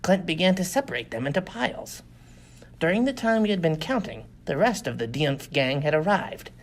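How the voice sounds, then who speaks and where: A man's voice: a little high, big pitch swings, normal speed. A man reading a science fiction adventure story as dramatic scripted narration. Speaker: audiobook reader.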